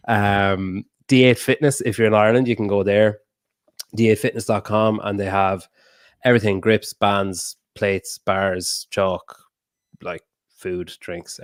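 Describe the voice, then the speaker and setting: This is a man with a fairly low voice, chatting casually as a podcast host. He rambles and swears offhandedly. The sound is dry and close, with no room tone. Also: clean tone, dry recording.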